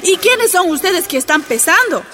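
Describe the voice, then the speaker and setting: An actress playing an indignant miners' wife in a radio drama. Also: female voice, very high-pitched, very animated, normal speed, pushed and bright, full character voice. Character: indignant miners' wife shopping at company store.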